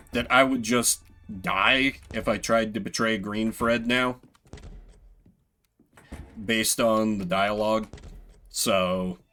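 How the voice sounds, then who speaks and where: A man's voice: medium pitch, sharp tone. A man streaming a video game with casual, wry, joking commentary, thinking aloud as he plays.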